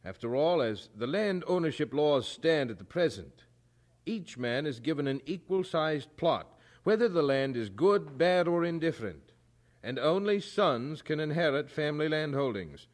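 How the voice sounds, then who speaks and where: A man acting in an audio drama as a firm, earnest colonial chaplain, speaking in a formal, measured and articulate way. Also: a little high, sing-song. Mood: firm, reasoned.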